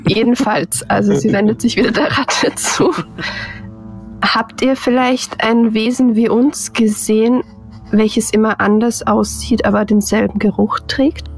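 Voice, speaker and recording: A woman's voice: a little high, fairly even intonation, a little slow. A woman taking part in a tabletop role-playing game, chatting casually and narrating her character. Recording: faint music behind the voice. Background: faint music.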